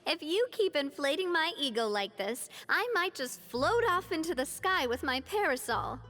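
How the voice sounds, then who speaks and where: A young woman leader speaks in a light, higher-pitched voice, earnest and warm-hearted. She shifts easily between quiet reflection and playful self-deprecating humor. Soft music sits faintly under her lines. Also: expressive, moderate pace. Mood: teasing. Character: young woman, proud and upbeat organization president. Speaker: president of a organization. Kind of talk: video game voice acting.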